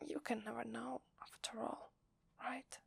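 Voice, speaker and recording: A woman with an Italian accent playing a loving, playful werewolf wife in a soft, breathy ASMR roleplay, tender and intimate in her delivery. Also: a little high, steady tone, a little slow, dry recording, exaggerated voice. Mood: apologetic, remorseful. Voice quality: breathy, whispery.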